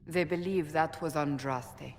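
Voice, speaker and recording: A woman's voice: low-pitched, measured pace, in a large hall, faint music behind. A woman playing a stern, resolute warrior officer in a video game, speaking formally and bluntly. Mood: firm, grave.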